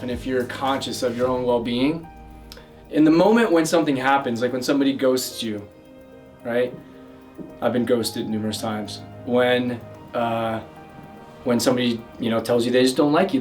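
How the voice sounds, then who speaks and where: A man working as a love and communication coach, giving loud, energetic advice straight to camera, with plenty of fillers and restarts. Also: mid-range voice, far from the mic. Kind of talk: direct-to-camera advice talk.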